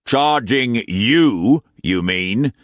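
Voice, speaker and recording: A man voicing a stern, forceful merchant leader who speaks bluntly. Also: medium pitch, lively intonation, extremely slow, dry recording, studio quality, pitched above the performer's own voice. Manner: blunt, commanding.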